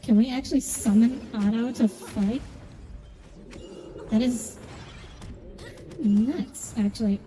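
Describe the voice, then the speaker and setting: A woman's voice: on the high side, slow, long pauses, dark tone, long reverb tail, slight background noise. A woman giving casual commentary as she streams a video game. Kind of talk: casual gaming commentary, reading dialogue aloud. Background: video game music.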